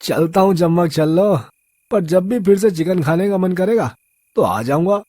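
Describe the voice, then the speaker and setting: Man acting a leering drunk thug boss, husky voice. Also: sits high, normal speed, mellow, dry recording, studio quality. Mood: lewd, taunting. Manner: leering, drawling street slang. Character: drunk lecherous local thug boss, swaggering and menacing. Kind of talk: Hindi audio story character acting.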